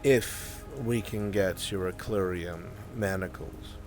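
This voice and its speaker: A man acts out a grave scholar of magic in a fantasy roleplay. His low voice moves very slowly, with deliberate pauses. The phrasing is formal and cautioning throughout. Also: darkish tone, faint music behind. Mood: solemn warning. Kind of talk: improvised fantasy roleplay acting.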